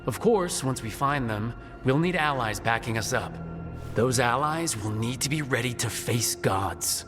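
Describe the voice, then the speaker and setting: A man's voice: mid-range voice, animated, measured pace, music in the background, close to an everyday voice. A man playing a determined, decisive team leader in a fantasy video game. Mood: resolute.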